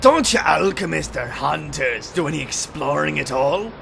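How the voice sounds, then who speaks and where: A man playing a gruff, menacing sailor in an audio drama, with a gravelly voice and curt, rough folksy speech. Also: a little high, expressive, normal speed, a bit bright, slight background noise, heavily acted.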